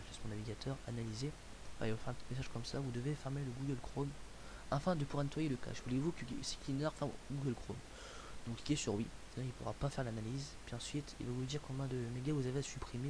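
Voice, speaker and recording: A young man from France talking through a computer tutorial in a casual, rambling way, full of fillers and restarts. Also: medium pitch. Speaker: tutorial maker. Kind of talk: casual screen-recorded tutorial. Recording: hissy home recording.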